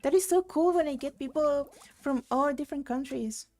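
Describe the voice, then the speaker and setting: A woman's voice: high-pitched, moderate pace, warm tone. Chatty young woman from Argentina streaming. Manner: chatty, reads chat aloud, rambling with fillers. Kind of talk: casual live-stream chat while gaming. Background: game music.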